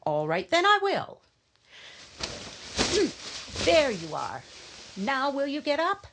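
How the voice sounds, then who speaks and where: A woman's voice: sits high, huge pitch swings, takes it slowly, background noise, heavily acted. A woman playing a cheerful middle-aged mother in radio drama, fondly coaxing and chiding. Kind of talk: live radio horror drama acting.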